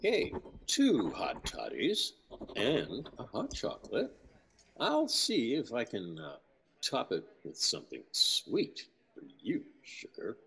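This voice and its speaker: An actor playing a casual, flirty male server with a husky, gravelly voice in an audio drama. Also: mid-range voice, wildly swooping pitch, slow, a bit bright, full character voice. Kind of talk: naturalistic audio drama acting.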